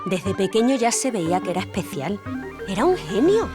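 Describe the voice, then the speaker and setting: A woman's voice: medium pitch, very animated, moderate pace, over music, natural voice. A woman playing an earnest, admiring witness giving testimony in a documentary-style audio drama.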